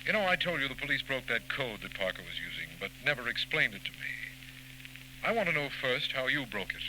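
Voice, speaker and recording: A man's voice: medium pitch, big pitch swings, brisk, pushed and bright. An actor playing a plainspoken, earnest lawman who questions a detective in a 1940s radio drama. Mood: puzzled, curious. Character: businesslike male lawman, puzzled straight man to detective. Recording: noisy old radio recording with hum.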